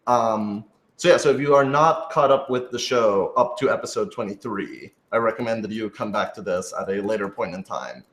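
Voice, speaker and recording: A man's voice: on the low side, animated, moderate pace. Man co-hosting in an echoey room. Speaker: co-host and producer of an audio drama. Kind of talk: casual live Q&A chat. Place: indoor room, on a couch. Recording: echoey, roomy sound.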